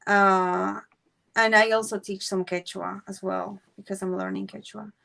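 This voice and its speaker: A woman from Peru, speaking English as a guest in a casual podcast conversation and pausing often with fillers. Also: mid-range voice, little pitch movement, creaky, pushed and bright. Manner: hesitant, many fillers.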